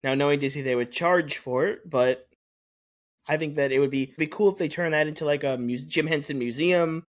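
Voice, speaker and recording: A podcast co-host getting over a cold, speaking bluntly and with strong opinions. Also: male voice, a little high, normal speed. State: recovering from a cold. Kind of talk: casual chat.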